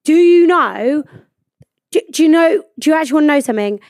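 A woman in her twenties, a little high-pitched, hosts a podcast from a studio. Her voice is croaky from a cold, and she chats about herself with loose, freely sworn stories. The sound is close and dry with no room echo. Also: expressive, fairly quick, a little mellow. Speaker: podcast host. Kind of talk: casual chat.

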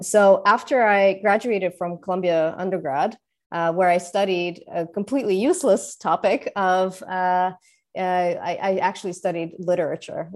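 A woman's voice: lowish voice, a little mellow. A woman in her thirties from Russia, answering interview questions in an articulate way with frequent fillers. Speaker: guest entrepreneur.

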